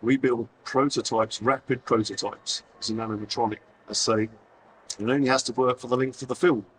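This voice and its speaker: Hoarse middle-aged man, distant mic. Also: mid-range voice, steady tone, brisk, a bit bright, slight background noise. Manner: informal, self-deprecating, lots of fillers and restarts. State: hoarse, losing his voice. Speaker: conference speaker. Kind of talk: live talk to an audience. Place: convention hall stage.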